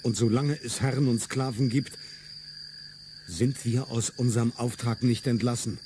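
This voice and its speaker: Radio play: a man as a proud revolutionary, mid-pitched voice, solemn and declamatory, faint chirping behind. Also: steady tone, moderate pace, noisy background, lightly acted. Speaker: radio drama actor. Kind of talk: stage-like radio play acting.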